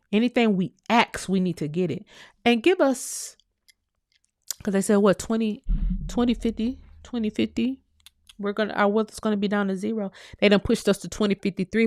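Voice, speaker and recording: A woman's voice: mid-range voice, no room sound, clean studio sound. A woman hosting her own commentary channel, speaking in an impassioned, preachy monologue that repeats phrases and swears freely.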